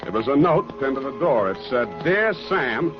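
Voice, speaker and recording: An actor in a 1940s radio drama playing a hard-boiled private detective, dry, sardonic and clipped. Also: male voice, mid-range voice, sing-song, normal speed, natural voice. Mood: wry narrating. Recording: dull old radio recording, music behind.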